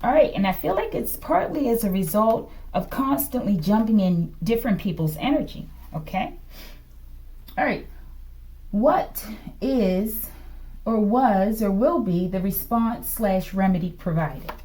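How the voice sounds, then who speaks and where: A woman's voice: mid-range voice, animated, unhurried, warm tone, low-quality recording. A woman giving an improvised tarot reading, thinking aloud in short fragments and often checking in with a questioning tag. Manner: fragmented delivery, murmuring to self, often ending phrases with a questioning "okay?". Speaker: tarot reader, host.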